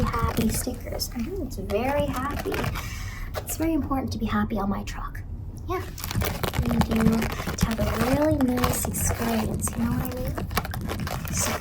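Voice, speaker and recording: A woman playing a creepy, sweetly doting ice cream truck clown in a soft-spoken ASMR roleplay, coaxing and soothing, with whispers and repeated little phrases. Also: high voice, heavy background noise, lightly acted. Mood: cheerful, eerie.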